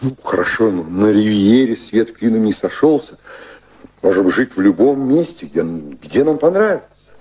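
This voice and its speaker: An older, high-ranking gentleman in a radio drama, devoted and proper. His low voice is husky and swings widely in pitch. He speaks in a courtly, halting way, earnestly repeating his phrases. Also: big pitch swings. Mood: pleading, reassuring. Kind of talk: radio play acting.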